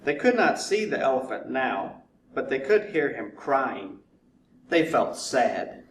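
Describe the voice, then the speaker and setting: A man narrating a children's storybook in a deliberate way, drawing words out for effect. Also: medium pitch, sing-song. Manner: deliberate, drawn-out repetition for effect. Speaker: fire chief reading to children. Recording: roomy echo, distant mic, faint noise.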